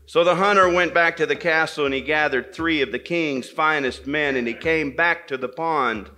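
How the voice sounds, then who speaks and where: Bright-voiced man telling a folktale. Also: fairly high pitch. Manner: deliberate, repeats phrases for effect. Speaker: storyteller at a men's gathering. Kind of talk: live oral storytelling of a folktale, dramatic pauses and repetition.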